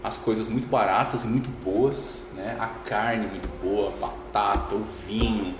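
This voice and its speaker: A man, a teacher and podcast guest, telling a story in a relaxed casual way with frequent tag questions. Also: lowish voice, a little slow. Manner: relaxed, anecdotal, laughs easily, frequent "né?". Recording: roomy echo, long reverb, noisy.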